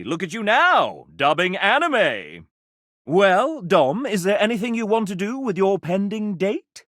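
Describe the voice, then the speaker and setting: A man's voice: high-pitched, fairly bright tone, dry recording, clean studio sound, exaggerated voice. An actor playing a sassy, theatrical male guide, narrating a video game in an arch, chatty way.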